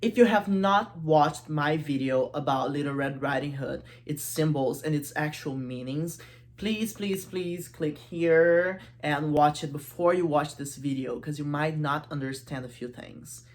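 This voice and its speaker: Low-voiced woman, chatty and playful. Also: unhurried. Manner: informal, playful, with asides to the viewer. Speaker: host of a literature video series. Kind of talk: casual, chatty literary analysis.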